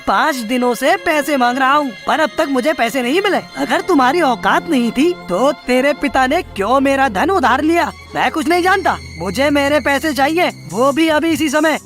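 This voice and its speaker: A woman's voice: high voice, animated, normal speed, few pauses, fairly bright tone, soft background music. A woman voicing a stern, bossy male crow moneylender in a children's cartoon story, with an emphatic, commanding manner. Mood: demanding, threatening.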